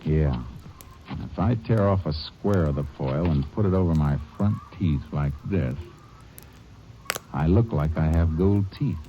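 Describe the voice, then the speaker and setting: An actor playing a terse, level-headed Texas Ranger, who also narrates, in a vintage radio drama. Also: male voice, rumbling low, a little slow, background noise. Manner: terse, clipped, no-nonsense. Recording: dull old radio recording with music.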